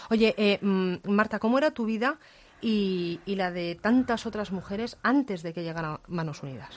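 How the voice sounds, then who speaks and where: A woman hosting a radio interview in a studio, asking lively, inviting questions. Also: medium pitch, normal speed. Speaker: radio host.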